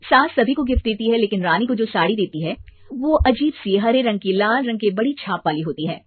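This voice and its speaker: A woman's voice: fairly high pitch, lively intonation, brisk, husky, no echo. Woman storyteller in dry, phone-like sound. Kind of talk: scripted story narration.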